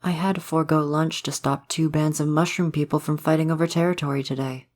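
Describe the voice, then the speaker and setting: Man playing a courtly, gentle male forest spirit. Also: fairly high pitch, fairly even intonation, a little fast, natural voice. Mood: warm, polite. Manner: formal, old-fashioned, polite phrasing. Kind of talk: ASMR roleplay, soft romantic audio drama.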